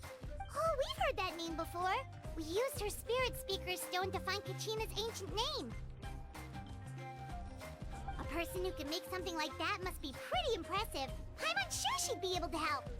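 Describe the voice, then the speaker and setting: A woman voicing a tiny, eager, childlike female fairy companion in an anime video game, with a squeaky, perky delivery. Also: very animated, normal speed. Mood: earnest. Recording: music playing behind.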